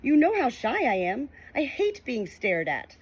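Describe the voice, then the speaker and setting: A shy, stubborn storybook creature with two heads, acted by a woman. He sounds plaintive and refusing, pitched higher, with animated intonation. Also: a little slow, breathy edge, exaggerated voice, low-quality recording. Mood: plaintive refusal. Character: shy, stubborn two-headed male animal, near tears.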